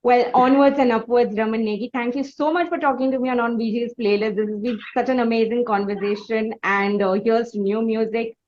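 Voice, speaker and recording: A woman's voice: on the high side, fairly even intonation, fairly quick, hardly any pauses, darkish tone. Indian lady interviewer, well spoken and effusive, kinda formal wording, muffled lo-fi sound. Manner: articulate, effusive, formal phrasing. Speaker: interview host. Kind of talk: conversational interview hosting.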